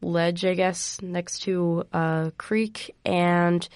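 A woman's voice: on the low side, flat delivery, drawn-out words, a little mellow, clear, resonant tone, no echo, clean studio sound. A young woman from Iowa, a guest on a radio show, speaking earnestly with a lot of hesitant fillers. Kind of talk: radio interview. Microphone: studio microphone.

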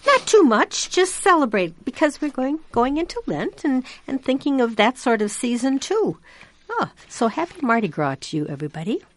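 An older woman from Iowa hosting community radio, chatty and folksy, swooping up in pitch when she exclaims. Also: sing-song, normal speed, no room sound. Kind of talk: casual radio chat. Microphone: studio microphone.

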